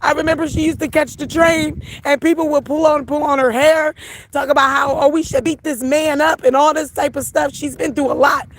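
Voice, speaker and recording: A middle-aged woman on a livestream, her voice hoarse from crying, talking to viewers in a raw, emotional way. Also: high-pitched, level tone, a little fast, no room sound. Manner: raw, emotional, repeats herself. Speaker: livestream host.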